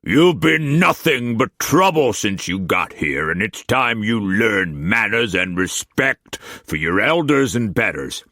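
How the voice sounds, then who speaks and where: A man voicing a sick, domineering older uncle who speaks gruffly and commandingly. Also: fairly low pitch, lively intonation, takes it slowly, hardly any pauses, pushed and bright, no room sound, studio quality, pitched above the performer's own voice, heavily acted. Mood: stern, harsh.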